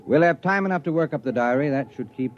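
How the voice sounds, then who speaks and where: An actor playing a weary, pragmatic spaceship commander in a 1950s radio drama, matter-of-fact and wry. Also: male voice, fairly high pitch, fast, natural voice. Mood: weary, musing. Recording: dull, noisy vintage radio recording.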